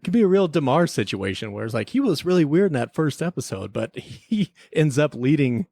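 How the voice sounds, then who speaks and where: A man's voice: fairly high pitch, big pitch swings, a little fast, vocal fry, warm tone, no echo, clean studio sound. A man hosting a podcast, casually reviewing a TV episode with joking, mock-serious remarks. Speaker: podcast host.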